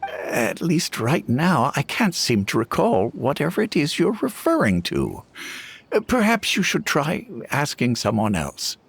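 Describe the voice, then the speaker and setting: A man's voice: fairly high pitch, wildly swooping pitch, a little slow, vocal fry, slightly rough, exaggerated voice. A man voicing a polite, forgetful old village elder in a video game. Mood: puzzled. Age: older. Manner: polite, hesitant, apologetic.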